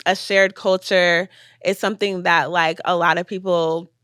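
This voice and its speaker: A woman's voice: lowish voice, little pitch movement, measured pace, a bit bright, clear, resonant tone, no room sound. Reflective young woman, dry close mic. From United States. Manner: reflective, casual, many fillers. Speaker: podcast guest, filmmaker. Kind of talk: casual podcast conversation.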